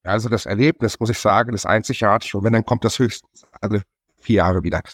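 A man from a national chefs' association explaining in a podcast conversation, listing things in a brisk, enthusiastic way. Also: lowish voice, dry recording, studio quality. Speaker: chef association representative.

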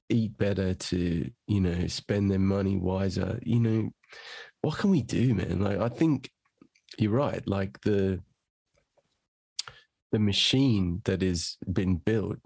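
A man's voice: on the low side, vocal fry, darkish tone, husky, no room sound. A man chatting as a podcast guest, eager and enthusiastic, often calling people "man" and "dude". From Australia. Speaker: guest musician. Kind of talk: casual conversation.

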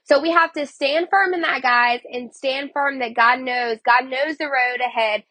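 A woman's voice: sits high, moderate pace, sharp tone, dry recording, rough recording. A woman with a cold, sounding sniffly, hosting a casual devotional podcast in a chatty way, saying "like" a lot. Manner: chatty, heavy use of "like". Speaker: podcast host.

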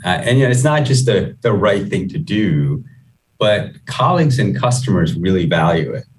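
A middle-aged man with a low voice answers interview questions as an executive. His delivery is measured and conversational, sprinkled with "you know". He sounds somewhat distant from the microphone. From Guyana, moved to the US at eleven. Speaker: chief executive, interview guest.

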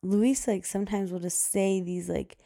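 Loud chatty woman podcaster, 30s, rambly, lots of likes and laughs, kinda gravelly. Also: mid-range voice, steady tone, normal speed, dry recording, clean studio sound. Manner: rambling, filler-heavy, laughs often. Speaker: podcast host. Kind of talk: casual solo podcast chat.

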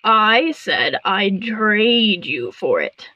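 A woman voicing a sly, commanding frog queen in a storybook tale, croaking and drawing her words out haughtily. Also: medium pitch, big pitch swings, sharp tone, dry recording, muffled recording, heavily acted. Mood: commanding, scheming. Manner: slow, drawn-out, haughty delivery.

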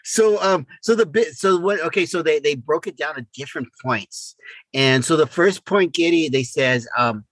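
An older man chats casually as a podcast co-host. His voice is bright and a little high, close and dry. He stammers, doubles back on words and exclaims his points. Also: normal speed, dry recording, poor audio.

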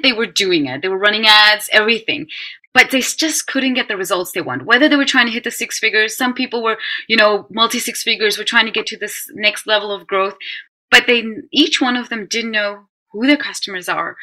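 Bright, animated woman. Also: medium pitch. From Netherlands. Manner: animated, emphatic, talks in quick run-on lists. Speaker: guest, brand strategy consultant. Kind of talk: podcast interview.

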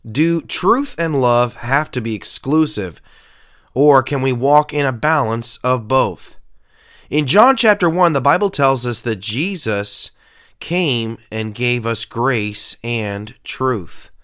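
A man's voice: medium pitch, animated, slow pace, fairly bright tone, smooth. A man who pastors and hosts a faith podcast, talking in an earnest, emphatic way with a nasal voice and frequent filler sounds. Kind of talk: podcast sermon talk.

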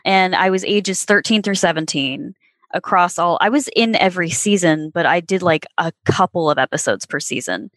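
A woman's voice: fairly low pitch, normal speed, a bit bright, no room sound. A woman in her twenties, a podcast guest, telling stories in a thoughtful, reflective way. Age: 20s. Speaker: podcast guest, former child actor. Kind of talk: casual podcast conversation.

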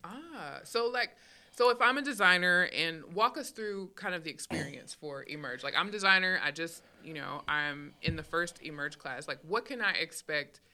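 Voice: medium pitch, lively intonation, moderate pace, pushed and bright. A podcast host running a casual interview in a bubbly, playful way. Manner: bubbly, playful, laughs often.

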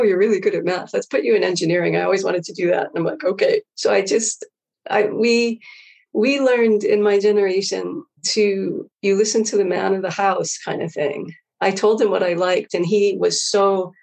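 Female interview guest, middle-aged, husky edge to the voice, loose and reflective conversational storytelling. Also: medium pitch, darkish tone. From Hawaii. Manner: informal, rambling, quotes own thoughts. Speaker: interview guest, former engineer.

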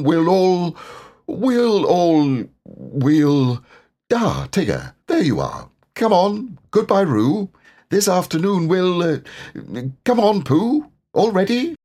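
A man voicing a bossy, self-important, impatient male rabbit in a storybook, briskly ordering others along. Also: on the high side, darkish tone, voice pushed up, heavily acted. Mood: flustered, urgent.

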